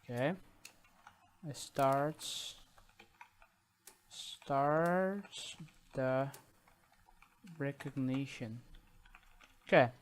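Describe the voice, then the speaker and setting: A man with a Brazilian accent talks through a programming tutorial in English, which is not his first language. He speaks at a very slow, halting pace, full of fillers and restarts, at a middling pitch, with keyboard typing behind him. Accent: Brazilian Portuguese. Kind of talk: screen-recorded coding tutorial.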